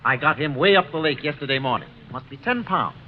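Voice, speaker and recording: A muffled, faintly hissy old radio recording frames a man acting as a slippery male schemer. His voice is a touch high, smooth-talking and full of protest, in classic radio crime drama style. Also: animated, fairly quick, close to an everyday voice. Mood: genial, eager. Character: slippery male thief posing as genial angler.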